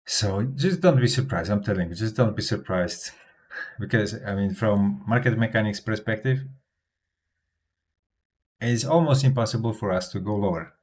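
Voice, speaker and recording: Hesitant low-voiced European man. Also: moderate pace, darkish tone, slight background noise. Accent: non-native English. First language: not English. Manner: hesitant, many fillers and restarts. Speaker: crypto livestreamer. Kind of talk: casual livestream talk.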